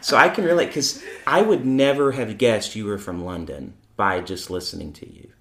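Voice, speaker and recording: A woman's voice: rumbling low, moderate pace, a bit bright. Chatty host lady, neutral american accent, echo in the room, laughs a bunch. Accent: neutral American, hard to place. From Chicago. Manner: chatty, laughs often, acts out voices. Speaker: podcast host. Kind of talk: casual church interview chat.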